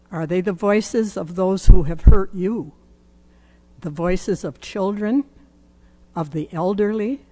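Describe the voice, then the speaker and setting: An older woman reads a scripture passage from a lectern in a church sanctuary. Her pace is unhurried and deliberate, each phrase set down with care. The sound comes through a lectern microphone. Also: mid-range voice, darkish tone. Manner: slow, measured reading. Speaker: scripture reader. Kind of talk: reading scripture aloud.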